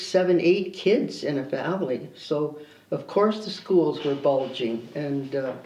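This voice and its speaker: A man in his eighties, a retired teacher, reminiscing in a measured way in a documentary interview. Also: on the high side, a little mellow. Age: 80s. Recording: roomy room sound, faint background music.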